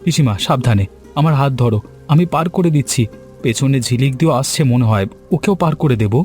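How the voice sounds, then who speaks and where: A man voicing a helpful, earnest village man in an animated story, careful and reassuring in his manner. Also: medium pitch, a little fast, soft background music, close to an everyday voice.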